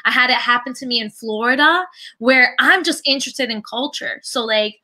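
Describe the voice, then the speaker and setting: A Canadian woman with a fairly high, strikingly bright voice talks casually as a podcast guest. She is animated and slangy, recounting personal stories and voicing the people in them as she goes. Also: normal speed. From Toronto, Canada. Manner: animated, slangy, acts out quoted conversations. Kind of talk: casual chat, personal storytelling.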